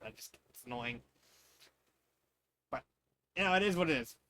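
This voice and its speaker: A man's voice: mid-range voice, lively intonation, moderate pace, bright, forceful tone, no room sound. A man reading fan fiction and giving casual, rambling commentary, often repeating and restarting his phrases. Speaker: fan fiction reader and reviewer.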